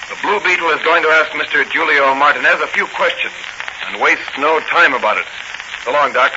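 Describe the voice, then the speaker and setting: A man's voice: a little high, fairly quick, natural voice. An American actor playing a confident, earnest policeman hero in a 1940s radio drama. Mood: earnest, determined. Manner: earnest, resolute. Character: brisk, confident policeman and masked crime-fighter hero. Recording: muffled, noisy 1940 radio recording.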